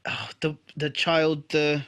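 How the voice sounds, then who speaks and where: Bright, higher-pitched man chatting casually. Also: monotone, takes it slowly. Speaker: podcast co-host. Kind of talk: casual chat recapping a TV episode.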